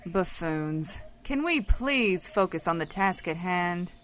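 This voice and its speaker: A woman's voice: lowish voice, expressive, takes it slowly, a bit bright. A woman playing a zealous, exasperated female squad leader in a comedic audio drama, with a commanding, impatient manner.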